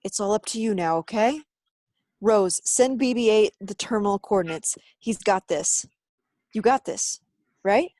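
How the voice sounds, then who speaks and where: A woman's voice: lowish voice, fast, hardly any pauses, no echo. A woman playing an impassioned, urgent resistance soldier in a sci-fi audio drama. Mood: tender resolve. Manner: urgent, emphatic.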